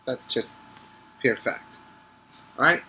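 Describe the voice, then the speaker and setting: A man who teaches preschool, reading a picture book aloud emphatically, stretching key words and pausing to explain things to the children. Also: bright, forceful tone, low-quality recording. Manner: instructive, checking in with listeners.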